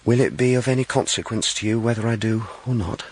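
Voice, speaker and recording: A man's voice: lowish voice, fast, a little mellow, breathy edge. An actor playing a courteous, wry young country clergyman in a period audio drama. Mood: earnest, tender. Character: gallant, teasing, earnest country clergyman. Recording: rain noise behind the voice.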